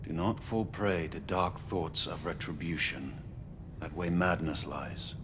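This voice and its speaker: Measured, formal knight. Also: male voice, low voice, background noise. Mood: grave, consoling. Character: composed, counselling Victorian knight of high rank. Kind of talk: cinematic video game acting.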